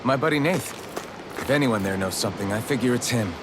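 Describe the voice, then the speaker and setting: A man's voice: medium pitch, a little fast, background noise, lightly acted. An actor playing a determined, plain-spoken young swordsman hero in a video game, with music behind him. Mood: hopeful.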